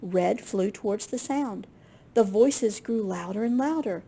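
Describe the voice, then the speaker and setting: Slow, husky woman reading a children's story. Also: mid-range voice. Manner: expressive, with gasps and questions to young listeners. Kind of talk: picture-book read-aloud to young children.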